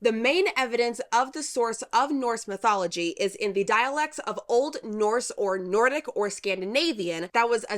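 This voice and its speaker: A young woman hosting her channel, chatty and playful, laughing often, with a congested nose. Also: medium pitch. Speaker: YouTube host. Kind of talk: casual chatty storytelling vlog. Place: home room with humidifier.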